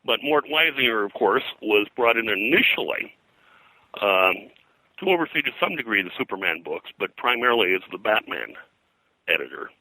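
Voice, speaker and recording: An older man, an interview guest, recounting history in a halting, discursive, scholarly way. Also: fairly low pitch, big pitch swings, bright, forceful tone, no echo, poor audio. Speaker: guest, comics and pulp fiction historian.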